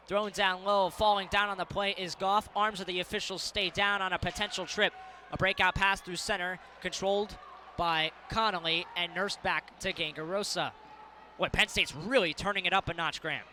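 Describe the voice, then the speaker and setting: Casting: young male sports broadcaster, early 20s, high voice, some background noise. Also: pushed and bright. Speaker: sports play-by-play broadcaster and reporter. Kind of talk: hockey play-by-play commentary and scripted sports news narration.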